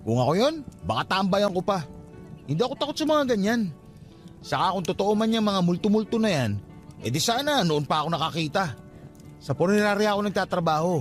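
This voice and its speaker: A man acting as a young skeptic in a Filipino radio drama. His voice is high and swings animatedly as he teases and brags in casual slang. Music plays underneath. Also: close to an everyday voice. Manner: cocky, joking, casual slangy banter. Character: teasing, skeptical young male cousin, works as embalmer.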